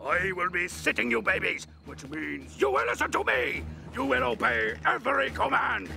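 A pompous cartoon chimp villain, ranting in a high, gravelly voice that leaps all over the place. Music plays clearly behind him. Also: male voice, normal speed, exaggerated voice. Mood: commanding. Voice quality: pompous, repetitive and shouting. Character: scheming male chimp villain, pompous and long-winded. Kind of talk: cartoon voice acting.

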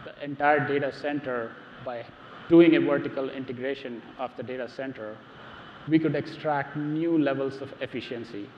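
A man's voice: medium pitch, steady tone, moderate pace, a little hiss or hum. A middle-aged man presenting on a large stage to a live audience, speaking emphatically and repeating words as he starts sentences. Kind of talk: technical keynote talk. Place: large dark stage before a seated audience. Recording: roomy hall reverb, dull sound, faint ambience.

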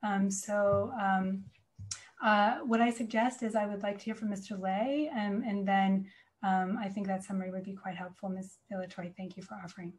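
Board chair, female, medium pitch, measured and tactful, on a video call. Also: fairly even intonation. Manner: measured, deferential, hedging. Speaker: board chair running a meeting. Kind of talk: formal meeting discussion.

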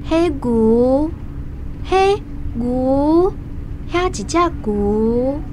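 A woman playing a gentle young mother with a Tainan Taiwanese accent, soothing her toddler in a radio drama. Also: high voice, expressive, drawn-out words, heavily acted. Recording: faint vehicle noise behind. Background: bus or car noise.

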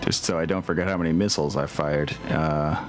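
A man's voice: a bass voice, fairly even intonation, brisk, music in the background. A man acting as game master, casually running an online tabletop game.

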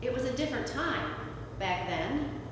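A middle-aged woman pastor, preaching a reflective sermon from a lectern with deliberate pauses. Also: high-pitched, expressive, background noise. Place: church sanctuary, standing at a lectern beside the altar. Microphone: far camera, room sound. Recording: echoey church from far off.

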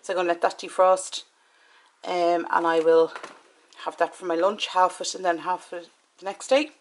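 A woman's voice: fairly low pitch, fairly even intonation, moderate pace. A woman in her forties with an Irish accent, chatting casually and matter-of-factly as a vlogger. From Ireland. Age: 40s. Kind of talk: casual chat about shopping. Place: home kitchen and inside a car. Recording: clicks and clear background noise.

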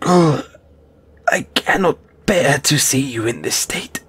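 A man playing a stammering, husky, squeamish gargoyle. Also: medium pitch, expressive, slow, a bit bright, heavily acted. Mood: alarmed, pleading. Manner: stammering, nervous, overly polite. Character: squeamish, timid, formal male gargoyle handler. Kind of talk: audio roleplay acting.

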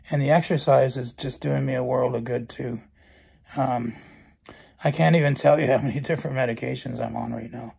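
A middle-aged man with a hoarse, gravelly voice gives a calm, matter-of-fact personal update into a phone camera in his bedroom. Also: medium pitch. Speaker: vlogger. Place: bedroom at night, indoors. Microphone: phone camera held close.

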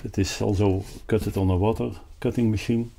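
An older man with a Dutch accent explains a product off the cuff, haltingly searching for words. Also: fairly low pitch, fairly even intonation, gravelly, mellow, slight background noise. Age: 60s or older. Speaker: loudspeaker designer presenting his product. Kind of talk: unscripted explanatory product talk. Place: carpeted, wood-panelled listening room indoors.

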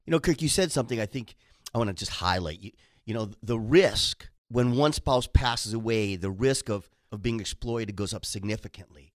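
A man explains financial scams in a conversational way, filling in with "you know" and "right?". His pitch rises and falls a lot, and the studio sound is dry. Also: expressive, moderate pace, dry recording. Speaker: co-host, financial instructor. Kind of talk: radio show talk.

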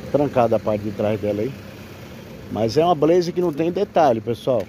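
A Brazilian man with a mellow, relaxed tone and an average pitch talks informally, like a broker pitching a used car. He repeats his key points as he addresses viewers. He is recorded outdoors at a roadside, with faint street noise behind him. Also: normal speed. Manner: informal, repetitive sales patter. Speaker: used car broker presenting a vehicle for sale. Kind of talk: casual sales walkaround video, addressing viewers.

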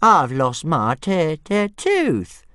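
A man voicing a small young dragon that whines and stammers in a high, thin voice. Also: wildly swooping pitch, drawn-out words, fairly bright tone, clear, resonant tone, dry recording, studio quality, full character voice. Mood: whining. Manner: stammering, whiny.

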